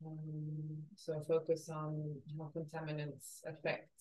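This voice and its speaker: A woman's voice: deep voice, monotone, a little slow, warm tone, poor audio. A woman from southern Portugal answering as a podcast guest in a reflective, hesitant, conversational way.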